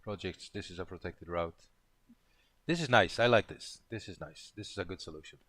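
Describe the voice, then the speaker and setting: A man's voice: low voice, moderate pace, a bit bright, no echo. A man from Poland chatting casually during a pair-programming session, rambling and thinking aloud as he goes. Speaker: programmer co-hosting a live coding session.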